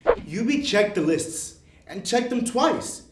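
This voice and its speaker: A woman reading a children's storybook aloud in an expressive style. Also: fairly high pitch, takes it slowly. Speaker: storybook reader. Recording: echoey room, clear background noise.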